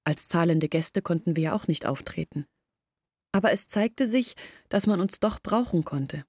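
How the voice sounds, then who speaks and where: A woman's voice: lowish voice, animated, moderate pace, a little mellow, dry recording, clean studio sound. An actress playing Olga, an earnest young village woman, telling her story in a radio play. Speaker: lead character in radio play. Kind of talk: radio drama acting.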